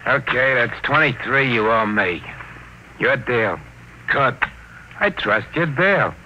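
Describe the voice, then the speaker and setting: A man's voice: mid-range voice, expressive, takes it slowly. An actor playing a laconic, callous henchman with dry, slangy delivery in an old-time radio drama. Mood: bored. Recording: muffled, noisy vintage radio recording.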